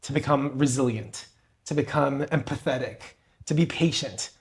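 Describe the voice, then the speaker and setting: A man's voice: mid-range voice, moderate pace. A young man from Peru, co-host of a podcast, telling his story and giving advice in an earnest, motivational way. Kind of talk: conversational interview.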